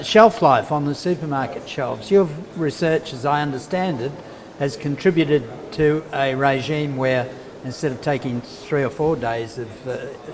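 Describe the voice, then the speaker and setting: A man interviewing a scientist, asking long leading questions. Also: mid-range voice. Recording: reverberant with faint noise.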